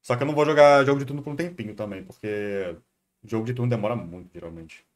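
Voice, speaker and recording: A man's voice: medium pitch, quick pace. A man with a Brazilian accent chatting casually as a streamer, rambling, thinking aloud and repeating himself. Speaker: streamer reacting to a video. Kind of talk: casual live stream chat.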